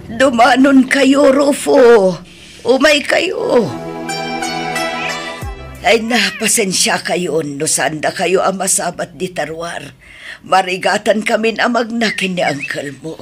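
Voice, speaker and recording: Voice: medium pitch, slow pace, soft background music. A performer with an Ilocano accent playing an ailing, apologetic elderly aunt in a radio drama. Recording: faint noise on radio drama recording.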